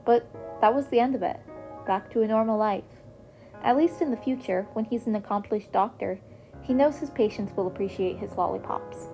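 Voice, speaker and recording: A woman's voice: fairly high pitch, moderate pace, warm tone, faint music behind, rough recording. A woman narrating a scripted story expressively, as a reader of fan fiction. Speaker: podfic narrator.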